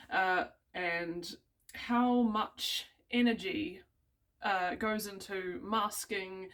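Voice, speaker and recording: A woman's voice: medium pitch, fairly bright tone. A young woman with a New Zealand accent talking casually to camera, hesitant and rambling with many ums and small laughs. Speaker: vlogger. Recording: voice a bit distant from the mic.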